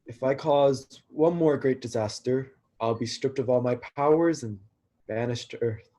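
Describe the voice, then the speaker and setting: A man playing an awkward, downcast young god of destruction in a staged reading, husky and hesitant. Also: medium pitch, measured pace, dark tone, lightly acted. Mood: glum. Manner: hesitant, self-conscious. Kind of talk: live staged play reading.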